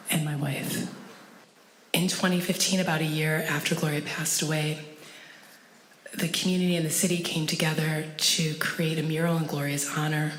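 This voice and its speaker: Woman giving slow subdued testimony, real low flat voice, boomy echoey hall. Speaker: widow speaking about her late wife. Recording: echoey room with long reverb, faint noise.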